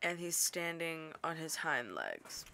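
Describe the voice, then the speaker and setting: A practical young woman who tends a lighthouse and invents gadgets, as acted by a woman. Her voice is slightly low but bright and crisp-edged, with wry, conversational first-person delivery. There is faint noise behind this audio drama recording. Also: huge pitch swings, slow, exaggerated voice. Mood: stunned. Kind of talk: audio drama narration and dialogue.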